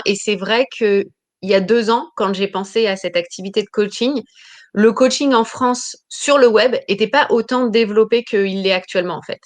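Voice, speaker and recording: A woman in her thirties, a business coach talking about her career in an interview, pausing often with fillers and restarting phrases. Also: mid-range voice. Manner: hesitant, many fillers and restarts. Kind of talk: interview answers.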